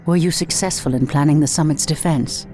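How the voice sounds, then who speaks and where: A woman's voice: deep voice, brisk, darkish tone, soft background music, lightly acted. A woman playing a proud, resolute night elf priestess warrior in a fantasy video game, formal and commanding. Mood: earnest.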